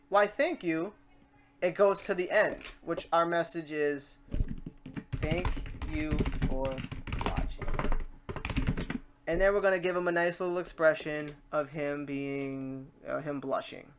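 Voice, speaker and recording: Casual tutorial host thinking out loud. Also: mid-range voice, fairly even intonation, a little slow, background noise. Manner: informal, talks self through steps.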